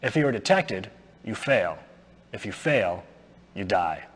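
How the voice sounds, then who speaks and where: An actor playing a smug, menacing militant cult lieutenant who gives orders in a theatrical, condescending way in a video game cutscene. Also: male voice, on the low side, big pitch swings, unhurried, a little hiss or hum. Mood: condescending, commanding. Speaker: villain briefing officer.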